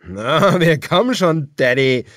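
A man's voice: a little high, sing-song, slow, a bit bright, dry recording, clean studio sound, voice pushed up, full character voice. A man voicing a cruel, mocking leader of a cannibal gang in an audiobook, with menacing taunts. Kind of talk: audiobook character acting.

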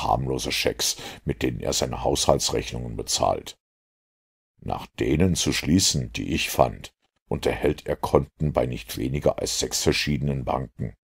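A man voicing a self-assured master detective who lectures in a measured, superior way in an audiobook. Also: extremely low pitch, creaky, husky, exaggerated voice. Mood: lecturing, assured. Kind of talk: audiobook character dialogue.

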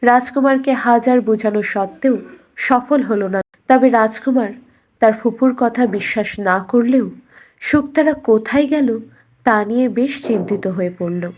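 A woman narrates a scripted fairy tale as its storyteller. Her voice sits fairly high. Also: moderate pace, a little mellow, a little hiss or hum.

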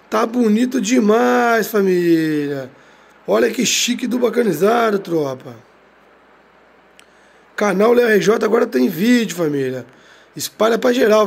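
A hoarse man from Rio de Janeiro talks over his bus game in hyped, slangy bursts. His voice sits high with a gravelly, husky edge. Every phrase trails off into a low, quiet tag word. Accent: Brazilian Portuguese, Rio de Janeiro. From Brazil. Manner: slangy, drags out catchphrases, tag words drop low and quiet. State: hoarse from a cold. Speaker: game streamer. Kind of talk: hyped gameplay commentary.

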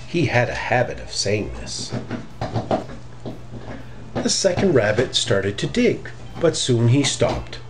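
A middle-aged man reading a children's story aloud in an expressive, deliberate way. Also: medium pitch, music in the background, low-quality recording. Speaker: storybook reader.

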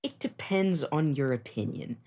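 A player in a tabletop game podcast, talking casually in deliberate, halting phrases. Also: mid-range voice, lively intonation, vocal fry, a little mellow, husky.

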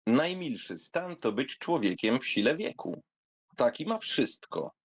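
A man giving a theatrical reading of a literary memoir, in an expressive, wry, conversational storytelling manner. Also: medium pitch, unhurried, husky, no echo. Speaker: narrator reading a literary essay aloud.

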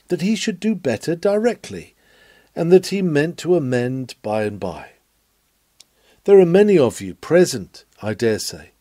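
A man's voice: a little high, mellow, dry recording. A man reading an old sermon aloud in a solemn, oratorical and exhorting manner, with dramatic emphasis. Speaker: narrator reading a historical sermon.

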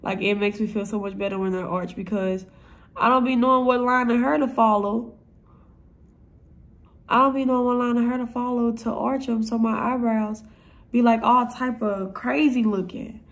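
A woman's voice: a little high, fairly even intonation, normal speed. Stuffy-voiced young woman chatting through a makeup routine. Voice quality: stuffy, nasal. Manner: blunt, chatty, profane, rambling. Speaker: vlogger. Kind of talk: casual makeup tutorial vlog.

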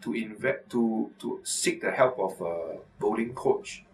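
A middle-aged man, an orthopaedic surgeon, explaining to camera in a measured way with frequent filler pauses, in a medical office. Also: on the low side, far from the mic, rough recording. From Singapore.

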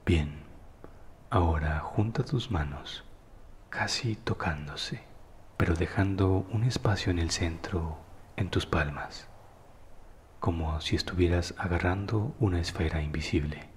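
Deep, slow-voiced man guiding meditation in reverb. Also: a little hiss or hum. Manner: calm, soothing, unhurried, often breathy at phrase ends. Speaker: guided meditation narrator. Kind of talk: slow guided meditation, scripted narration.

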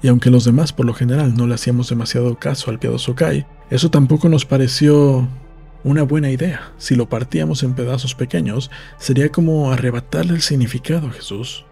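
A man's voice: mid-range voice, steady tone, fairly quick, vocal fry, warm tone, breathy edge, soft background music. A man reading literary narration from a script, as in an audiobook. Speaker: audiobook reader.

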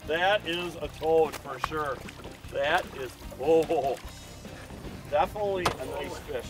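Excitable man fishing from a boat. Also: on the high side, slow, over music. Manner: excitable, exclaims over each catch. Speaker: fishing show host. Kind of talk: casual chat while fishing. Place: open boat on a lake, outdoors.

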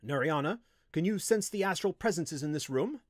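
A man's voice: a little high, lively intonation, normal speed, no room sound, studio quality, voice pushed up, close to an everyday voice. A man voicing a cold, domineering wizard teacher in an audiobook, with a commanding, imperious delivery. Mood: demanding, forceful. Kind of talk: audiobook character voice.

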